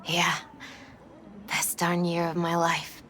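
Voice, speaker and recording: Low-voiced wistful grieving girlfriend. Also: monotone, takes it slowly, a bit bright, slight background noise. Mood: tender, nostalgic. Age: 20s. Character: wistful twenty-six-year-old grieving girlfriend, soft-hearted, melancholy. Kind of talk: cinematic video game acting.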